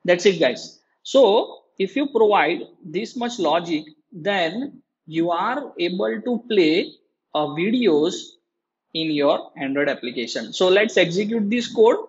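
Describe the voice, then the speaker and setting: A male lecturer walks through a tutorial in a halting, repetitive way. His voice sits high and sounds husky. The recording is dull and lo-fi. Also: lively intonation. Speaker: programming lecturer. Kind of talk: screen-recorded tutorial explanation.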